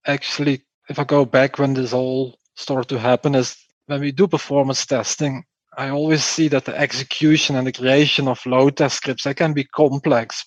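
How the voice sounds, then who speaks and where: Man, Flemish accent, mid-pitched voice, emphatic explainer, podcast guest, dry lo-fi recording. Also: no room sound. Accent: Flemish Belgian. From Belgium, Flanders. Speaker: podcast guest, performance testing engineer. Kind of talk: explanatory interview talk.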